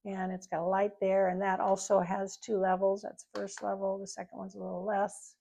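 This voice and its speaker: A woman with noticeable creak in her voice walks through a product demonstration. She sounds informal and explanatory, rambling a little from point to point at an average pitch for a woman. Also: completely flat delivery, brisk, dark tone. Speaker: product reviewer. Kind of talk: casual product review demonstration.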